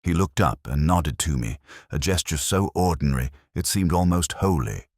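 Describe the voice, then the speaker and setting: Man playing weary detective narrator, radio drama, rock-bottom pitch, solemn, measured, reflective, dry studio sound. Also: animated, darkish tone, no echo. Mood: weary, resigned. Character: weary, melancholy older male detective narrating his case. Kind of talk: scripted first-person dramatic narration.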